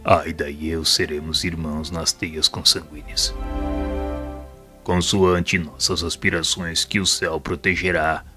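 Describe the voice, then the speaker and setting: An actor playing a solemn, repentant spirit mentor in an audio drama, speaking in a grave, formal and measured way. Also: male voice, rumbling low, flat delivery, music in the background. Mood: solemn resolve. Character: solemn, repentant male spirit assistant and mentor, a former doctor. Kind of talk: audio drama dialogue, formal literary monologue.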